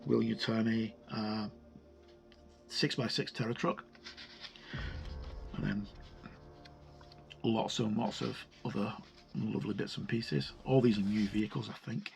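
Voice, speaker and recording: Creaky-voiced man reviewing a book casually. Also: on the low side, steady tone, a little slow, pauses often. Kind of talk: casual unscripted review commentary while leafing through a book. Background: music.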